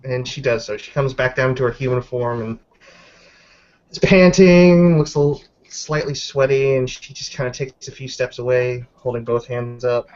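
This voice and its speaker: A man's voice: medium pitch, normal speed, a little mellow. A man improvising narration as game master of a tabletop roleplaying session. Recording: dull lo-fi audio.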